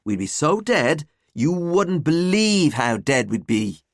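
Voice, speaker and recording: A woman's voice: sits low, big pitch swings, takes it slowly, no room sound, studio quality, voice pushed up, exaggerated voice. A woman voicing a lazy, sardonic teenage schoolboy in an audiobook, flippant and emphatic. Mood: amused, flippant.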